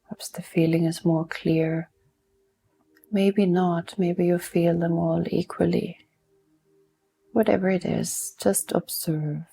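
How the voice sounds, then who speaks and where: A woman leading a guided mindfulness meditation in a soft, soothing manner, unhurried and leaving long pauses between instructions. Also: lowish voice, fairly even intonation, gravelly.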